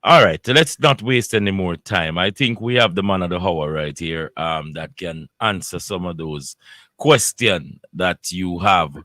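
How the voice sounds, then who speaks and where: A man's voice: deep voice, measured pace, bright, forceful tone, no room sound, clean studio sound. A man hosting an online workshop, polite and hesitant, handing the floor to a guest. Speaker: workshop host. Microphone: video call.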